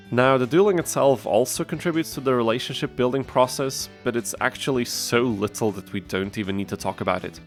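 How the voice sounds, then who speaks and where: A man's voice: medium pitch, creaky. Tongue-in-cheek male gaming narrator over music. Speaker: gaming video host. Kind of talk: scripted challenge-run narration. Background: background music.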